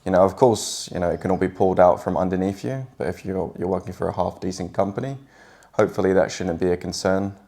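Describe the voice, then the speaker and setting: An English man talking casually with his partner, relaxed and hesitant, with many fillers. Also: deep voice, fast talker. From England. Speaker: guest partner. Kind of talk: casual chat.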